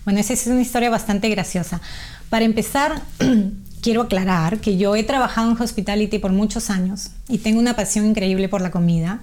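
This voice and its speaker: A woman's voice: medium pitch, a little fast, a little mellow. A middle-aged Peruvian woman with a Peruvian Spanish accent, a guest chatting casually in an interview, with frequent fillers and restarts. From Peru.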